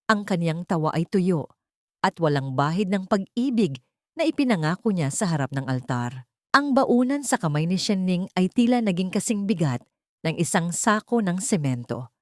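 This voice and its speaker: A woman's voice: lowish voice, very animated, normal speed, no echo, studio quality. A woman narrating a scripted emotional story as a dramatic storyteller.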